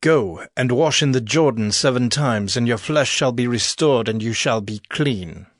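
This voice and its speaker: An actor playing a prophet's calm messenger in a dramatized audio Bible, formal and measured. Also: male voice, mid-range voice, dry recording, studio quality, close to an everyday voice.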